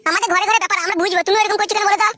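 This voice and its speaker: High-pitched, rapid-fire defiant village lad. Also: male voice, flat delivery, pushed and bright, dry recording, studio quality. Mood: indignant. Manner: whining, argumentative, cheeky. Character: defiant hot-headed young village bigamist, whiny and cheeky. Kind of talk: comic cartoon dialogue in colloquial rural Bengali.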